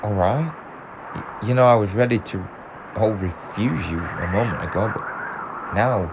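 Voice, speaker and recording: Casting: male actor as hapless tired wayfarer for audio drama, lowish voice, halting everyday delivery, noisy ambience. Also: natural voice. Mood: uneasy, puzzled. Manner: hesitant, naturalistic, conversational. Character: weary, hapless male traveller, easily tempted.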